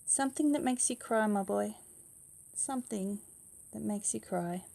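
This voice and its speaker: A kindly, wise storybook neighbour, voiced by a woman reading to children. The delivery is measured, with simple phrases repeated for emphasis. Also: mid-range voice, vocal fry, warm tone. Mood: wise, tender.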